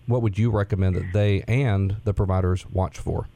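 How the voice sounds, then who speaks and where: Low, mellow-voiced man asking questions. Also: steady tone, moderate pace, vocal fry. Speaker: medical provider co-host. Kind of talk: interview questions.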